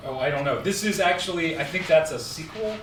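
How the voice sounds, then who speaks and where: A man's voice: on the high side, expressive, brisk, a crowd in the background. A man from Louisiana chatting casually in a hesitant, rambling way. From Louisiana, USA. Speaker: guest on a charity livestream. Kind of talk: casual chat. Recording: roomy, distant mic with clear background noise.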